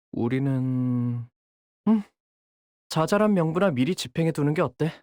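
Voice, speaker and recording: An actor voicing a coolly menacing young male gangster underling in a game, measured and sardonic, deferential to his bosses. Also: medium pitch, a little mellow, no echo, studio quality, lightly acted. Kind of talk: Korean game character voice lines.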